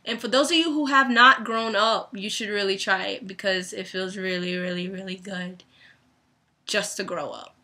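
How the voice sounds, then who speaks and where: A young woman in a reflective personal vlog, speaking thoughtfully and deliberately about faith, her voice going dry and raspy. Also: medium pitch, fairly even intonation, pushed and bright. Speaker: vlogger and author.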